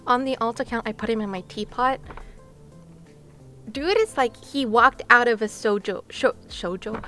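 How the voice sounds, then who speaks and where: A woman's voice: on the high side, normal speed, sharp tone, faint music behind. A woman livestreaming and chatting casually with her viewers, giggly and playful, with gushing exclamations and sing-song asides. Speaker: live streamer.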